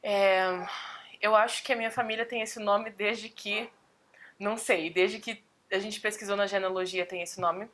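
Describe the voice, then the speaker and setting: A woman's voice: medium pitch, steady tone, moderate pace, fairly bright tone. A woman speaking in a casual interview, thoughtful and explanatory.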